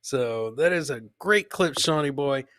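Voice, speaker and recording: A man with a bright, punchy voice and a slightly high pitch hosts a casual live comedy show. The sound is dry and close. He chats loosely with his co-host and viewers, often saying a phrase twice for comic effect. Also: lively intonation, a little slow, no room sound. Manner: chatty, addresses co-host and live viewers by name.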